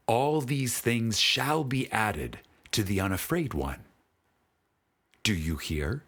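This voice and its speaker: A man with a husky voice reads a spiritual audiobook in a solemn, deliberate manner with dramatic pauses. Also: lowish voice. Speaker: audiobook narrator. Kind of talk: scripted spiritual audiobook narration.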